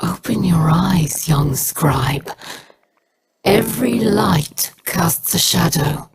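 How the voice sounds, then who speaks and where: A woman playing an ominous, bitter alien schemer in a video game, with a very raspy, breathy voice. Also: lowish voice, takes it slowly, a little hiss or hum. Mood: ominous, hushed.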